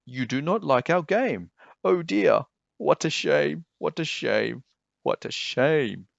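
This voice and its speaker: Man playing a breezy storybook cat with swooping pitch. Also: expressive, slow pace, vocal fry, dry recording, lo-fi sound, full character voice. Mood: sorrowful. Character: breezy mischievous storybook cat, reassuring showman. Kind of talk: children's storybook character reading.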